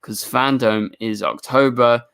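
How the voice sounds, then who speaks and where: Host, man, mid-pitched, relaxed, reads viewer comments and chats loosely. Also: creaky, clean tone. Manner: easygoing, quick agreeing interjections. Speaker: show host reading super chats. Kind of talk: casual podcast chat.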